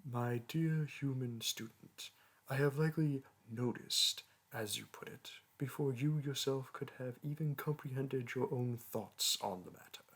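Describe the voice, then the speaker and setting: A haughty young dragon who teaches human students, acted out by a man for a roleplay audio. He has a medium-pitched male voice and speaks in lofty, archaic language. His manner is pompous and disdainful, treating the listener as a lesser creature. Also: a little slow, slightly rough. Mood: dry condescension.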